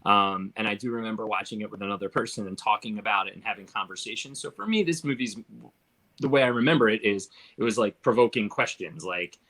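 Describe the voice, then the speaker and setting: A man's voice: on the low side, quick pace, a bit bright, rough recording. A middle-aged man hosting a casual film panel, reflective and often checking in with the others. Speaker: host of film discussion panel. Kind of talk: casual chat.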